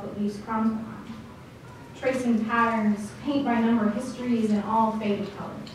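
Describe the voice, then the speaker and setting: A woman performing slam poetry, speaking deliberately with dramatic phrasing and pauses. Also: a little high, recorded from a distance. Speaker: slam poet. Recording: echoey room from afar, faint noise.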